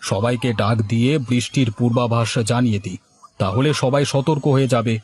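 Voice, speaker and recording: A man's voice: medium pitch, brisk, a little mellow, slight background noise, natural voice. A man voicing a folksy male village farmer. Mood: concerned. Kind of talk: cartoon moral-story dialogue.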